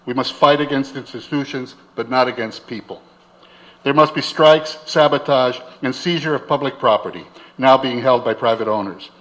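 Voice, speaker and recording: A man reading a written political text aloud with declamatory, deliberate phrasing. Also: mid-range voice, fairly bright tone, rough recording. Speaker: reader. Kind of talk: dramatic reading of a political editorial.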